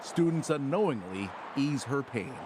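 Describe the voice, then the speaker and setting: A man's voice: mid-range voice, lively intonation, darkish tone, slight background noise. A man reporting a TV news feature in scripted narration. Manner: measured storytelling delivery.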